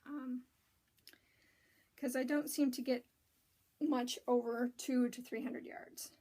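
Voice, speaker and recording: A woman with a raspy voice hosting a casual knitting and spinning show-and-tell, rambling with many ums and restarts. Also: high-pitched, steady tone, slow, warm tone.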